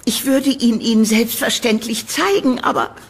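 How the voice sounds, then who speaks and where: A woman playing an eccentric old landlady in a horror audio drama, gushing and doting in a halting, theatrical, eerily sweet way. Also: a little high, husky. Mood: evasive, halting. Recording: reverberant room, background noise.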